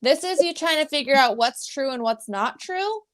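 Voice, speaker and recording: High-voiced woman reading spirit cards. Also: normal speed. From Pennsylvania. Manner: calm, instructive card reading. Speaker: psychic reader.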